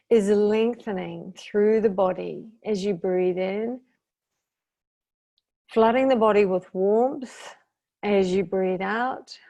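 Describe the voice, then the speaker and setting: A woman guiding a meditation as a teacher, speaking soothingly and gently in short phrases with long pauses. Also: mid-range voice. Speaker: guided meditation teacher and intuitive therapist. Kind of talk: guided meditation, slow calming instruction.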